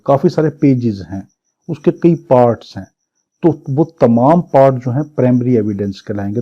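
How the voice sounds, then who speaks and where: A Pakistani man delivers an exam-prep law lecture in a soft-edged, dark voice of average pitch. He reads out clauses, then explains them didactically, repeating points and asking questions he answers himself. The recording is somewhat dull and lo-fi.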